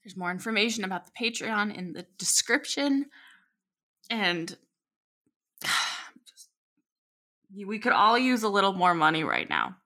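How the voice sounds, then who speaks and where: A woman's voice: medium pitch, very animated, unhurried, pauses often, pushed and bright, exaggerated voice. A woman playing an awkward, put-upon young woman giving a casual in-character podcast outro, hesitant and aggrieved. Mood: resigned. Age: young adult. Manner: hesitant, aggrieved, rambling, sighing.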